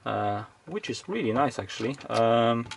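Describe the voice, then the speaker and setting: A man's voice: medium pitch, animated, measured pace, vocal fry. A man, probably middle-aged, talking casually and hesitantly, rambling as he thinks aloud through an unscripted hands-on demonstration. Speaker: video creator, electronics hobbyist. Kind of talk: casual unscripted teardown narration. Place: indoors at a home workbench. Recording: faint background noise with clicks.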